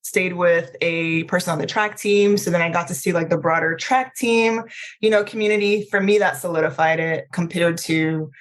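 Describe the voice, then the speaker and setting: A woman's voice: fairly low pitch, moderate pace. A middle-aged woman, talking informally as an interview guest, peppering her speech with conversational filler phrases. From Trinidad, then Maryland, United States. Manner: informal, frequent "like" and "you know". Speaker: podcast guest.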